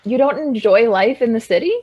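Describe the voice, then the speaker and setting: A woman's voice: fairly high pitch, lively intonation, fairly quick, a little mellow, slightly rough, muffled recording. A young actress playing a tipsy, dry-witted woman from a small town in a radio drama. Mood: wry, tipsy.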